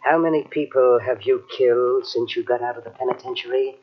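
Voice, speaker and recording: An actor playing a calm, scheming master of the house, condescending and coolly commanding, with a husky voice in 1940s radio style. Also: male voice, mid-range voice, brisk, close to an everyday voice. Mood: smooth control. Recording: dull, lo-fi old radio cassette recording.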